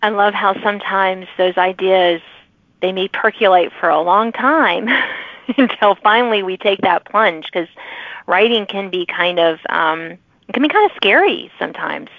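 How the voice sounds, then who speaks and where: A woman's voice: mid-range voice, moderate pace. Woman podcast host, chatty interview style, thin phone-like sound, no echo. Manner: chatty, laughs easily. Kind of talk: conversational author interview. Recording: narrow phone-like sound, dry.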